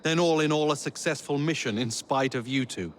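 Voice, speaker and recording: An actor voicing a stern older man mentor with a measured, authoritative way of speaking. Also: medium pitch, a little hiss or hum, natural voice.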